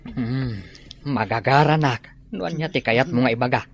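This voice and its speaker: Man doing a calm churchy dad in a radio play, measured and steady, ordinary middle pitch. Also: soft background music, lightly acted. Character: calm, devout middle-aged father. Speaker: father in a radio drama. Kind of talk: radio drama acting.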